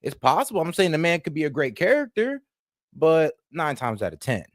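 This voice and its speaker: A man from the Caribbean co-hosting a casual group discussion, blunt and animated, mocking the voices he quotes. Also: on the high side, a little fast, no echo, clean studio sound. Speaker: podcast co-host.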